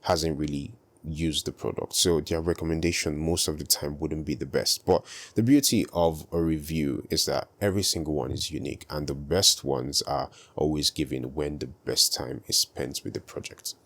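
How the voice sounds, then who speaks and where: A young man with a very deep voice, speaking softly and calmly in a casual tutorial vlog. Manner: soft-spoken, calm and unhurried. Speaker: video creator.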